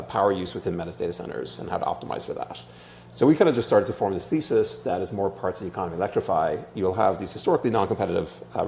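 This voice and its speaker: Low, creaky-voiced Irish man. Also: darkish tone, slight background noise. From Ireland. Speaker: startup co-founder, interview guest. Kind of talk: casual explanatory interview talk.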